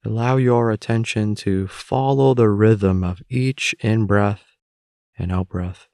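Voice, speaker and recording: A man guiding a meditation in a calm, unhurried, instructional manner, leaving long pauses between phrases. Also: on the low side, gravelly, dry recording, studio quality. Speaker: meditation guide. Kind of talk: guided meditation narration.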